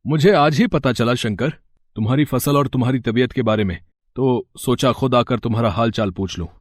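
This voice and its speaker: Man acting a kindly rich village landlord, husky. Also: mid-range voice, no room sound, clean studio sound, close to an everyday voice. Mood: reassuring. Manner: measured, earnest, reassuring. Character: kind, rich middle-aged village landlord, earnest benefactor.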